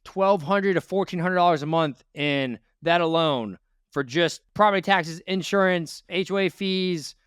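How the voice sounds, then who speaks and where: Man, finance host, blunt and lecturing, smooth clear voice, higher pitch, dry close sound. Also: unhurried, fairly bright tone, no room sound. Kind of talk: financial audit interview.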